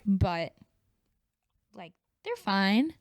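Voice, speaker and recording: A woman's voice: mid-range voice, sing-song, extremely slow, no echo, clean studio sound. A woman co-hosting a podcast in a casual brainstorming chat, slipping into imagined dialogue as she explains ideas. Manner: conversational, acts out imagined dialogue. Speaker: podcast co-host.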